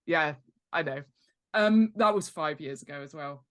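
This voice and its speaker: A woman's voice: sits low, a little slow. A middle-aged British woman talking conversationally as an expert podcast guest, stressing points emphatically by repeating words. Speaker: guest, author and world-building expert. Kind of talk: conversational explanation in a podcast interview.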